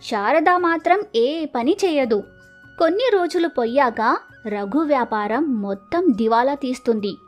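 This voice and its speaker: A woman's voice: high voice, animated, a little slow, soft background music. A woman narrating a scripted village moral story as storyteller.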